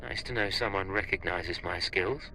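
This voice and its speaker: Casting: cocky spy, deep and very bright-edged, dry delivery, video game. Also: male voice, moderate pace, a little hiss or hum, heavily acted, rough recording. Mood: cool, dry. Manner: dry, nonchalant, curt. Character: cocky, cold male secret agent, dryly insolent. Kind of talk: video game cutscene acting.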